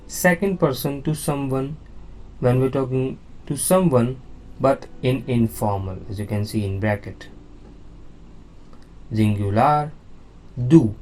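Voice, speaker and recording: A man's voice: mid-range voice, takes it slowly, pauses often, a little mellow, slight background noise, poor audio. A man teaching a beginner language lesson, explaining slides patiently and repeating words with frequent "now" and "okay?".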